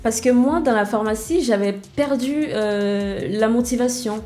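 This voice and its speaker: A young woman from Nigeria whose first language is English, speaking French as a guest in an interview, candid and soft-spoken with hesitant fillers. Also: on the high side, measured pace. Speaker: guest, web developer. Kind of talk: interview conversation.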